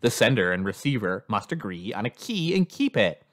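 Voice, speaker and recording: A man's voice: on the low side, sing-song, normal speed. A man reading a scripted instructional narration in an explanatory manner as a lesson narrator.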